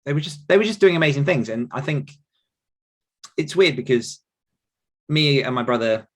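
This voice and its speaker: A man's voice: mid-range voice, animated, moderate pace. A British man in his thirties talking casually and reflectively as an interview guest, wandering as he tells his stories. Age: 30s. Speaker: podcast guest, author.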